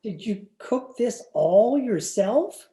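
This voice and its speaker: A man's voice: high voice, expressive, takes it slowly, warm tone. A man playing an exasperated, skeptical husband with a dry, sardonic manner in an old-time radio comedy. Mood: puzzled. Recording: roomy, echoing home recording with noise.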